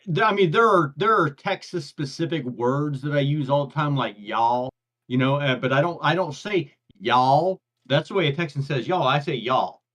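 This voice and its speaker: A man's voice: on the high side, lively intonation, moderate pace, fairly bright tone. An older man with grandkids, co-hosting a casual podcast chat and rambling on, often stumbling and repeating words before he gets a thought out. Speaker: podcast co-host. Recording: dull lo-fi audio.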